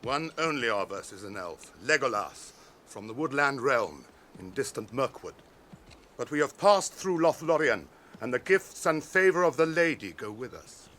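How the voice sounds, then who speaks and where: An actor playing a noble, stern wandering ranger and heir to kings, formal and commanding, in a radio fantasy drama. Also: male voice, medium pitch, very animated, moderate pace, fairly bright tone, a little hiss or hum. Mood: guarded.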